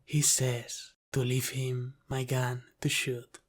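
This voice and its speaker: A man playing a teasing, menacing mafia boss with an Italian-American accent in an ASMR roleplay, speaking deliberately and sometimes dropping into a whisper. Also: mid-range voice, fairly even intonation. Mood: amused, mocking. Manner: slow, deliberate, teasing, with breathy sighs and whispered phrases.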